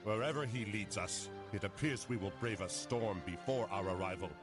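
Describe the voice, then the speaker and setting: A man's voice: fairly low pitch, normal speed, music in the background, close to an everyday voice. A man playing a blunt, sardonic seasoned sailor in a video game cutscene. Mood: wry. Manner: blunt, wry, colourful. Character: gruff, salty old-hand sailor, blunt and sardonic.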